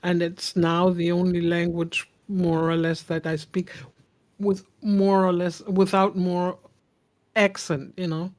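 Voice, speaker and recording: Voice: medium pitch, flat delivery, slow pace, a little mellow. A guest in casual chat speaking English with a non-native accent, hesitant and searching for words. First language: German-speaking upbringing.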